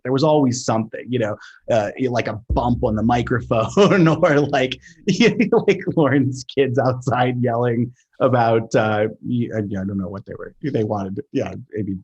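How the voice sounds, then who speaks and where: A man in casual conversation, explaining his work in a halting way, thinking aloud and repeating words as he goes. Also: mid-range voice, a little mellow, breathy edge, muffled recording. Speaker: sound designer, interview guest.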